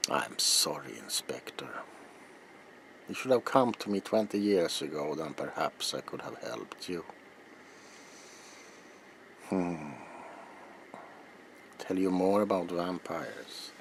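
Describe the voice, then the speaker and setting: Older male vampire hunter , acted by a man, very low and slow, grave and ominous, dramatic ASMR roleplay delivery that sinks into whispers. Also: expressive, fairly bright tone, slight background noise, exaggerated voice. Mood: grave, brooding. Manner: deliberate, dramatic, dropping into breathy whispers. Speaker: character performer in roleplay.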